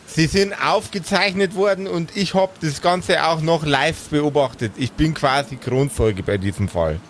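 A man's voice: on the high side, measured pace, a little hiss or hum, close to an everyday voice. A man playing a stern, officious security guard who admonishes in formal address in an improvised audio role-play. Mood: smug, reproving.

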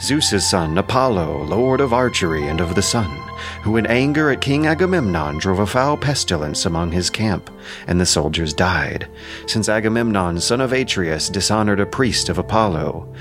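Low-voiced man narrating a solemn epic. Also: animated, moderate pace, music in the background. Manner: solemn, declamatory. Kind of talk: dramatic scripted epic narration.

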